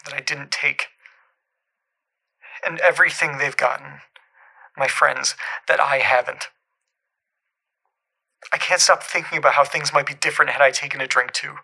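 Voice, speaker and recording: A man playing a regretful, pleading caller to a radio show in an audio drama, talking earnestly and haltingly in a tense, creaky voice. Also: on the high side, sharp tone, heavily acted. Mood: disappointed, wistful. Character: regretful, pleading male caller desperate for a second chance. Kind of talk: scripted audio drama, naturalistic acting.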